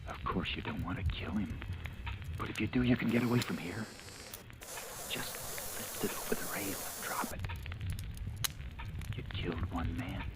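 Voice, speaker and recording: An actor playing a sinister, insistent inner voice in a radio horror drama, hushed and slow, often whispering. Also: male voice, sits low, very noisy. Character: Eddie's inner voice, sinister insidious urging man. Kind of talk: old-time radio horror drama acting. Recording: old radio recording with music behind.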